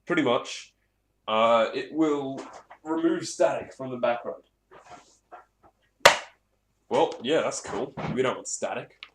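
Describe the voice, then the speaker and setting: A man's voice: medium pitch, slow, pauses often. A man giving a casual hands-on gear demonstration in a rambling, off-the-cuff way, with some swearing. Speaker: hobbyist presenter. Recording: echoey room, clear background noise, clicks.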